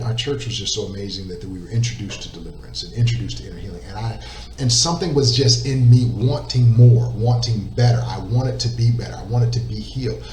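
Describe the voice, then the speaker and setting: A man's voice: lowish voice, a little mellow. Earnest man in a roomy, distant recording. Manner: emphatic, repeats words, asks "right?". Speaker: interview guest. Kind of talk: earnest faith testimony. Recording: roomy echo, distant mic, faint noise.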